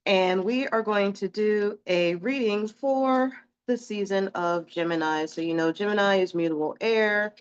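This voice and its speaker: A woman's voice: medium pitch, measured pace, clear, resonant tone, highs cut off. A woman doing a casual, rambling tarot reading in a chatty, reassuring way, a little sniffly from allergies. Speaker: tarot reader.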